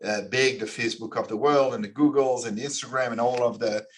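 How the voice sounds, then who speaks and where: Guest, forties, man from Belgium, measured, restarts often. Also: medium pitch, fairly even intonation. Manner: measured, with frequent restarts and fillers. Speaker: guest, finance executive. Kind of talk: podcast interview answers.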